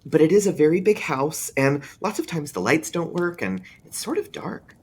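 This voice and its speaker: A man's voice: a little high, sing-song, slight background noise, voice pushed up. A man voicing a precocious, serious young boy in an eerie storybook, earnest and thoughtful, a bit scared. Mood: earnest, slightly scared. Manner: earnest, matter-of-fact, pausing thoughtfully. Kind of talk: read-aloud eerie storybook dialogue.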